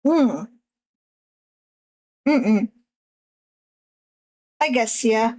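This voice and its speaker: A young woman with a husky voice that sits a little high for her, chatting on a livestream. She talks slowly and unhurriedly, hesitating and circling back with lots of fillers as she thinks aloud. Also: expressive, mellow. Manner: hesitant, halting, many fillers and restarts. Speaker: streamer. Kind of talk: casual chat while drawing on stream.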